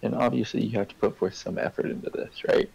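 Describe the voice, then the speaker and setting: A man's voice: fairly low pitch, steady tone, fast, gravelly, a little mellow. A young man in casual conversation on a stream, talking things through with friends. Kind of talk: casual chat.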